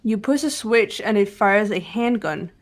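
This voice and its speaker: A woman voicing a composed, analytical teenage girl detective in a fan-voiced mystery game, speaking in a deliberate, precise way. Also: medium pitch, animated, lightly acted. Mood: calm, analytical. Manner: deliberate, precise, lecturing delivery. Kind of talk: fan voice-over of a mystery visual novel.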